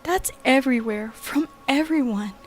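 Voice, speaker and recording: A man's voice: very high-pitched, normal speed, voice pushed up. A man voicing a frightened young woman who pleads in a frantic, breathless way, with faint music behind. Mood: panicked.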